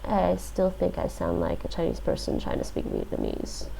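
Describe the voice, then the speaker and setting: A woman streamer thinking aloud as she works through a lesson, hesitant, with many fillers and restarts, relaxed and self-deprecating. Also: fairly low pitch, normal speed, gravelly, soft background music, poor audio. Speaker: live streamer. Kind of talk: thinking aloud while doing a language app.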